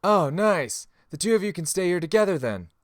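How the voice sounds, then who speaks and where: A man's voice: high voice, very animated, a little fast, dry recording, clean studio sound. A man playing a frank, easygoing guild medic in a fantasy game audiobook. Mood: breezy, joking.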